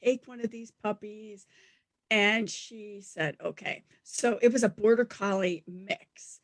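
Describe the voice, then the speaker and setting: Brief: middle-aged woman guest, scratchy voice, animated storyteller, dry close mic. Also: medium pitch, slow, no room sound. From Denver, USA. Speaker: guest, animal talent agent. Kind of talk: casual interview storytelling. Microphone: studio mic.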